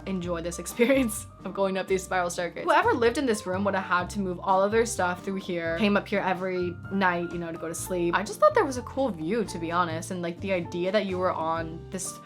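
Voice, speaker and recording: A woman's voice: lowish voice, brisk, few pauses, faint music behind. A young woman from the San Diego area, narrating an apartment tour in a bubbly, chatty way with lots of fillers. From San Diego area, United States. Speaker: vlogger.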